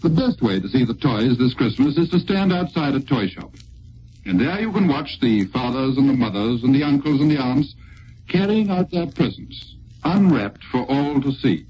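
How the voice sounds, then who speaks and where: A British man presenting a scripted 1940s wartime radio broadcast in a measured, formal manner. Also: mid-range voice, big pitch swings. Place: radio studio. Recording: muffled, noisy 1940s radio recording.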